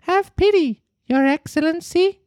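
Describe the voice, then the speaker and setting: A high, piercing puppet boy. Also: unhurried, a little mellow, no echo, studio quality. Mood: pleading. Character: small wooden puppet boy, brave and pleading.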